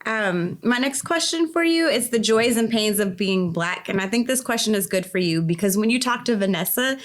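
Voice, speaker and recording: A woman's voice: medium pitch, lively intonation, moderate pace. A woman hosting a podcast, interviewing a guest in a conversational way.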